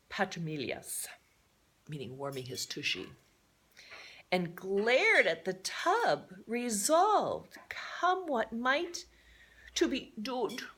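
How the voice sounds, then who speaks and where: A woman's voice: mid-range voice, fairly bright tone. A woman reading a children's story aloud in a theatrical way, adding chatty, playful asides. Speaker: storybook reader.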